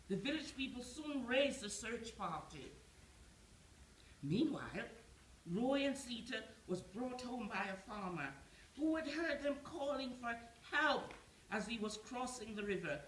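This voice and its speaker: A woman in her seventies telling a bedtime story on stage in an expressive, measured storytelling manner. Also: a little high. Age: 70s. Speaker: storyteller in an amateur pantomime. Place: small theatre stage. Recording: roomy theatre echo with faint noise.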